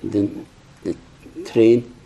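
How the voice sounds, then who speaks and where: Halting elderly man with a dark, husky voice. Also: on the low side, flat delivery, lo-fi sound. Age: older, 80s or 90s. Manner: slow, halting, reflective, with restarts. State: hard of hearing. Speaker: survivor giving testimony to young people. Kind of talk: personal testimony talk.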